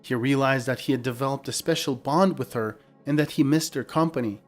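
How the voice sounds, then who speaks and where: A man's voice: medium pitch, brisk, soft background music. A man reading a scripted dramatic story as narrator.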